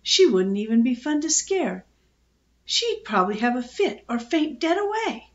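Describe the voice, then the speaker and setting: A woman's voice: high voice, big pitch swings, normal speed, lo-fi sound, pitched above the performer's own voice. A woman voicing a bossy, teasing female parrot in a children's storybook, with a sardonic, scolding manner.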